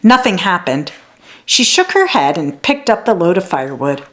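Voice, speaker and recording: A woman narrating a children's story as a read-aloud, in an expressive, deliberate storytelling manner. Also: fairly low pitch.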